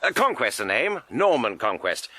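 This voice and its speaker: An actor playing a breezy, hearty, fast-talking gentleman adventurer in a tongue-in-cheek period radio drama. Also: mid-range voice, sing-song, slightly rough, no room sound, heavily acted. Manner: jovial, hearty, slangy.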